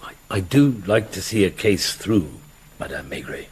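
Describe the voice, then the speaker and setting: A man's voice: lowish voice, lively intonation, moderate pace, low-quality recording. A man playing a patient middle-aged police inspector in a radio drama, speaking in a hesitant, wry murmur. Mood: wry, mild. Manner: hesitant, murmuring, stammers mildly. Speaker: actor in the lead role. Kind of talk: naturalistic radio drama acting.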